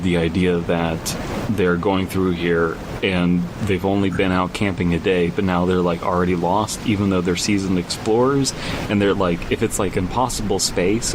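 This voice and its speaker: A man hosting a podcast, casually reading a horror story aloud and commenting on it in a rambling, incredulous way. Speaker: podcast host. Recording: noisy, low rumbling background hum.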